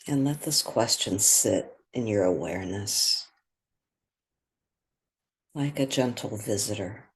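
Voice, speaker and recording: A meditation guide speaks very slowly and gently, leaving long pauses between short phrases. The voice is soft and husky with plenty of breath in it, at a medium pitch, leading a guided meditation. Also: lively intonation.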